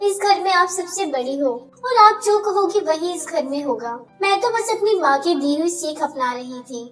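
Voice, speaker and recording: A woman's voice: very high-pitched, expressive, normal speed, far from the mic, soft background music. A woman voicing a respectful, caring young daughter-in-law in a cartoon story, polite and earnest. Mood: meek.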